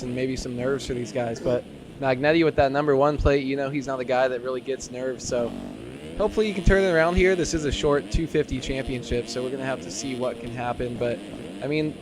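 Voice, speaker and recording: A man giving casual, analytical color commentary on an esports race, with plenty of fillers. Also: mid-range voice. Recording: noisy background.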